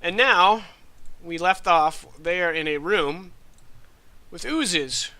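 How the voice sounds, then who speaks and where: A man in his thirties with a nasal, congested voice, running a tabletop game as game master with relaxed, rambling asides. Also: high voice, takes it slowly. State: congested from allergies.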